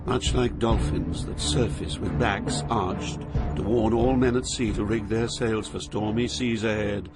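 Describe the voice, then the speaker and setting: An older actor playing a solemn poet, narrating his journey through hell in a measured, literary way. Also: fairly low pitch, loud music behind the voice, natural voice. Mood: grave, haunted. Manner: grave, measured, poetic.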